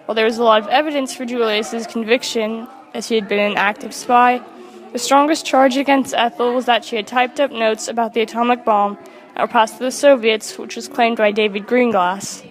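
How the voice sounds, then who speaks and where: Higher-voiced woman reading a script. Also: fairly even intonation, fairly quick. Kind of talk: scripted narration, read aloud.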